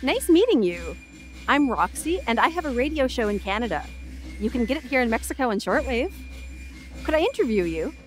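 Casting: curious female radio host, played by an actress, slightly high voice, friendly and wry, music underneath, audio drama. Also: big pitch swings, fairly quick.

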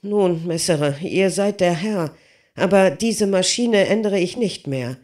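A reader voicing a clumsy, childlike giant blacksmith who pleads loudly and desperately with his master. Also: medium pitch, takes it slowly, voice pushed up, lightly acted. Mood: pleading. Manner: raised, loud, desperate pleading.

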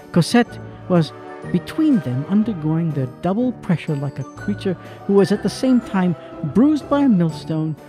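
Animated, theatrical male narrator. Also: on the high side. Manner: literary, theatrical. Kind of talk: dramatic scripted narration.

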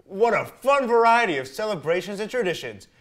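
A man's voice: high-pitched, big pitch swings, fairly quick, a bit bright. Upbeat teen boy anchoring the news. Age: teens.

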